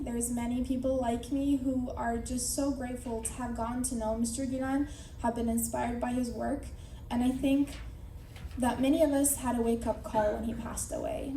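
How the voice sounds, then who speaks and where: An earnest young woman speaks in a measured, reflective way, her voice slightly high and softly mellow. She is captured from a distance in a roomy, echoing hall, with faint noise underneath. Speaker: speaker at a memorial gathering. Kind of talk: prepared personal tribute speech. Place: large hall at an evening gathering. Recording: echoey hall from far off.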